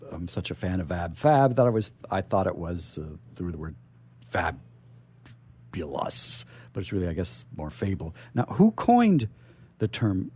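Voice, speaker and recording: A male radio host with a soft, mellow tone and a very lively, swooping pitch. He chats playfully and a little theatrically, often halting and doubling back on his words as he interviews. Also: slightly rough. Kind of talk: live radio interview, chatty. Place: radio station studio.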